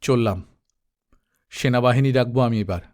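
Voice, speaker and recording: A man voicing a proud, vengeful young Aztec prince, speaking low and resolute. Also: normal speed, darkish tone, lightly acted. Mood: bitter resolve.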